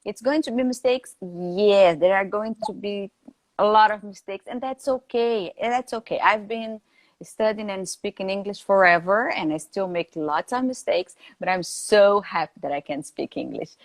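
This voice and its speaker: A woman's voice: mid-range voice, normal speed, no echo. A woman from Brazil with a Brazilian Portuguese accent, hosting a casual live chat in English and often checking in with "right?" and fillers. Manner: warm, tags "right?", hesitant fillers. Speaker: host. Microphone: phone.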